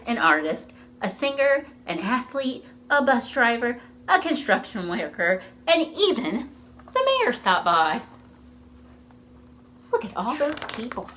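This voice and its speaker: A woman's voice: on the high side, a little hiss or hum. A woman reading a picture book aloud to young children, expressively and with questions to the listeners. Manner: animated, slow, asks the listeners questions. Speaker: children's storytime reader at a library.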